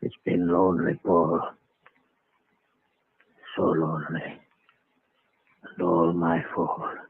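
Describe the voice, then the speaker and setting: Frail, slow old grandfather, tinny radio. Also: low-pitched, little pitch movement, dry recording, heavily acted. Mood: regretful, frail. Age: older. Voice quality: frail, husky voice. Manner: halting, faltering, repeats words. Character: weak, dying, remorseful old grandfather. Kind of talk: vintage radio drama acting. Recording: muffled, tinny vintage radio recording.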